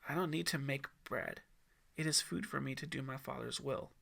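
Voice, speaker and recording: A man voicing a calm, resolute holy man in an audiobook, speaking with firm assurance. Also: mid-range voice, moderate pace, gravelly, exaggerated voice.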